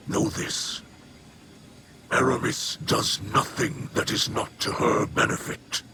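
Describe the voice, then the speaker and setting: An actor playing a grave, remorseful alien leader in a video game, formal and breathy. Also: male voice, medium pitch, heavy background noise. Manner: slow, formal, solemn. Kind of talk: video game character acting.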